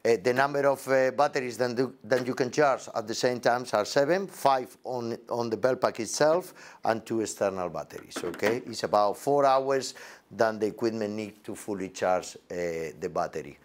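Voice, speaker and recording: A man in his forties with halting, non-native English gives an unscripted product demonstration as a presenter, recorded indoors on a lapel mic. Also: mid-range voice, animated. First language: not English. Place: indoor demo set with backdrop.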